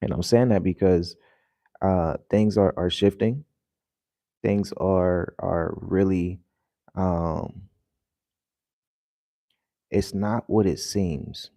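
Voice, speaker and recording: A man fresh out of bed talks loosely to his viewers, his voice low and heavy with the morning. The tone is mellow and unhurried, full of ums and restarts. The sound is close and dry with no room around it. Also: fairly even intonation, dry recording. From United States. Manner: rambling, loose and conversational with many fillers. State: just woke up, voice deeper than usual. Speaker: vlogger. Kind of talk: casual vlog talk to viewers. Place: home in the early morning.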